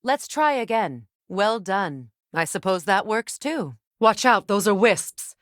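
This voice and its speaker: An actress playing a proud, wounded, wise middle-aged Norse warrior sorceress in a video game, with measured, formal, dryly sardonic phrasing. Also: female voice, medium pitch, lively intonation, a bit bright, no room sound, clean studio sound. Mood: focused, instructive. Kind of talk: dramatic video game character acting.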